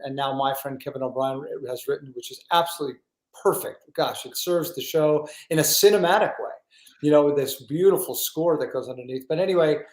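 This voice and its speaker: A man hosting a talk show in a casual, effusive conversational way, as a theatre director chatting with a guest. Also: fairly high pitch. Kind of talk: casual interview and chat. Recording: roomy, echoing sound.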